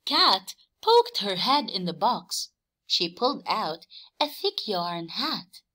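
A woman teacher reading aloud for young children, expressive and emphatic, often questioning. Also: mid-range voice, takes it slowly. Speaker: teacher and story reader.

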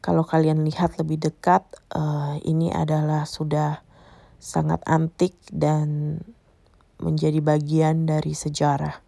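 A woman narrates a casual travel vlog in a dark, mellow voice with a gravelly fry. She explains what is around her straight to the viewers. The sound is close and dry. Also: deep voice, monotone, unhurried, dry recording. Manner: explanatory, addressing viewers directly. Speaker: travel vlogger.

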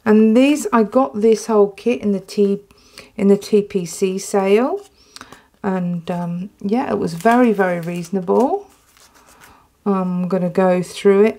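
A woman with an English accent, casually chatting while unboxing a stationery haul at a tabletop, excitable and full of ums and restarts, her throat dry. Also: medium pitch. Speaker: planner vlogger. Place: indoors at a tabletop.

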